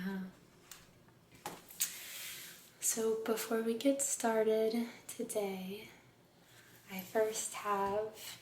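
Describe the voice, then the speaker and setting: A woman speaking softly and breathily, often near a whisper, in a gentle, soothing ASMR role-play as a spa aesthetician. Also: mid-range voice, echoey room, a little hiss or hum. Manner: gentle, soothing, unhurried, careful. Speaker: ASMR performer playing a med spa aesthetician. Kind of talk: soft-spoken ASMR personal attention role-play.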